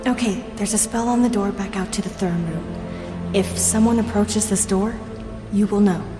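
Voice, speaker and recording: A woman voicing an excitable young spellcaster in an audio drama, eager and exclamatory. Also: medium pitch, moderate pace, over loud music, close to an everyday voice. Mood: warning. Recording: echoey hall reverb.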